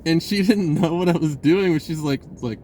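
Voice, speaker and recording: A man telling a personal story in a casual, rambling, informal way with an animated, giggly delivery. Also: on the high side, normal speed, a little hiss or hum, low-quality recording.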